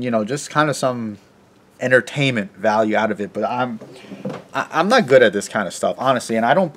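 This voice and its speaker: A man in his thirties with a hoarse, sniffly voice, chatting casually to a handheld camera in his home garage and car, rambling and self-deprecating. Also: medium pitch. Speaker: car vlogger. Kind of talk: casual how-to vlog talk to camera. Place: home garage, then inside a parked car. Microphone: handheld camera at arm's length.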